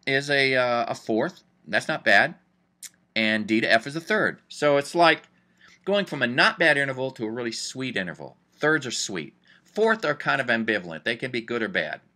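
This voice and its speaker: Male music teacher, bright tone, chatty and upbeat, casual explainer. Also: mid-range voice. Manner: chatty, upbeat, thinks aloud with fillers. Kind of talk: casual screen-recorded lesson.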